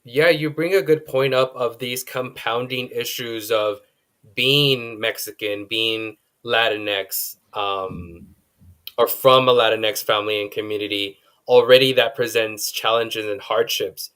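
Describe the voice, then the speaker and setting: A man moderating a panel discussion, speaking in a measured, affirming way with frequent ums. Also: mid-range voice, steady tone, fairly bright tone, clean tone.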